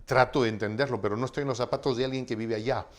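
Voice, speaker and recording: A man's voice: mid-range voice, fairly even intonation, very fast. Latin american guy hosting an interview, normal mid voice, chatting casually. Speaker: interview host.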